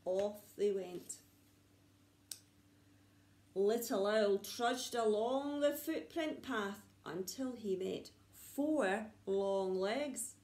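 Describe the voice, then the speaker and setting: A woman reading a story to young children like a nursery teacher, with dramatic pauses and repeated words for suspense. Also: medium pitch, a little mellow. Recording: echoey room.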